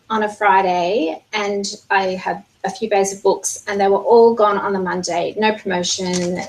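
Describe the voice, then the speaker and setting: Soft-voiced aussie librarian lady, chatty panel answer. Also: medium pitch, rough recording. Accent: Australian. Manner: soft-spoken, self-deprecating.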